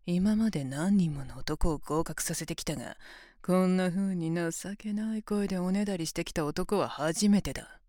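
A woman's voice: on the low side, normal speed, warm tone, no echo, lightly acted. A woman voicing a domineering, mocking female examiner in an ASMR roleplay, taunting and commanding in a close whisper. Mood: scornful. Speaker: voice actor in an audio roleplay.

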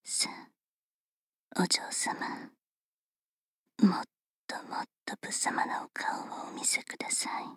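A woman voicing a polite, teasing female servant who attends a young lady, speaking in formal, unhurried whispers for an ASMR roleplay. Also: sharp tone, no room sound, clean studio sound.

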